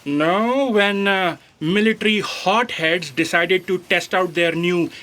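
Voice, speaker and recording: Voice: mid-range voice, takes it slowly, a bit bright. A performer playing a deferential, literal-minded aide in a sci-fi audio comedy, hesitant and earnest. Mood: dutiful, hesitant. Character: deferential, hesitant, fact-correcting space aide.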